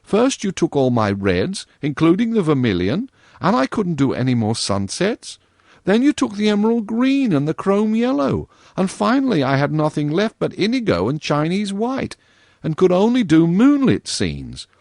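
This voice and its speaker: A man's voice: sits high, wildly swooping pitch, moderate pace, vocal fry, darkish tone, no echo, studio quality, voice pushed up, exaggerated voice. A man voicing an earnest, primly stern young girl in a storybook reading, with a scolding manner.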